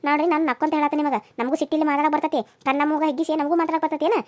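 A woman's voice: high-pitched, steady tone, rapid-fire, a little mellow, close to an everyday voice. A woman voicing an outspoken, protective, motherly neighbour in a family melodrama, indignant, with a North Karnataka Kannada accent.

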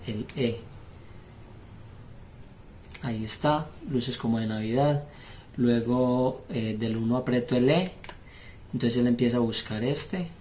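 A man with a mid-pitched voice talks through a practical demonstration. He goes step by step, pausing often as he works. The recording is made in a room, with clear noise and small clicks behind him. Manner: halting, step-by-step phrasing with pauses. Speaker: seller demonstrating referee intercom gear. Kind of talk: hands-on tutorial, unscripted explanation. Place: small indoor room at a table.

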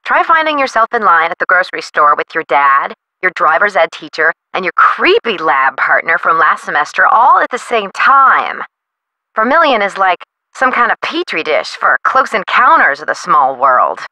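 Flippant, swooping young bohemian artist. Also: female voice, on the low side, sing-song, moderate pace, bright, forceful tone, no echo, heavily acted, low-quality recording. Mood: teasing, excited. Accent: American. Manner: breezy, theatrical, slangy. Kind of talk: video game character dialogue.

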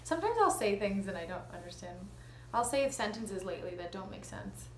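Canadian actress chatting in an interview, kinda hesitant and self-deprecating, echoey room w some background noise. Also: female voice, mid-range voice, big pitch swings, brisk. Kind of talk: casual conversational interview. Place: living room at home.

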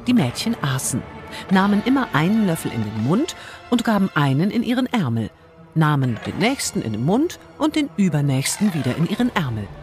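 A woman's voice: sits low, sing-song, brisk, darkish tone, soft background music. A woman narrating a fairy tale from a script as a storyteller.

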